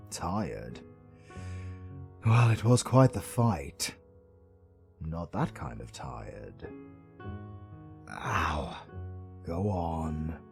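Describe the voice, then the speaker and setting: Deep gravelly British supervillain, acted by a man. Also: a little mellow, heavily acted. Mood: teasing, sympathetic. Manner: dramatic, teasing, sweary, swinging from murmurs to outbursts. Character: brooding, sarcastic, secretly caring male supervillain, flirtatious and world-weary. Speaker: audio roleplay voice actor. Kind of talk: romantic comedy roleplay acting, intimate.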